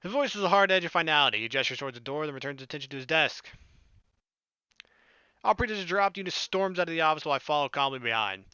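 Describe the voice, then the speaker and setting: A man's voice: fairly high pitch, animated, fast, sharp tone, clean tone, no room sound, muffled recording. A young man doing casual gameplay commentary and reading visual novel text aloud. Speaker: let's player. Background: game music.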